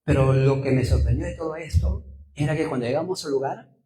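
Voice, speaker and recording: A man's voice: on the high side, brisk, mellow, reverberant. A Peruvian man with a Peruvian Spanish accent preaching as a pastor, telling personal stories, repeating phrases for emphasis and asking rhetorical questions. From Peru. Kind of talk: sermon with personal anecdotes.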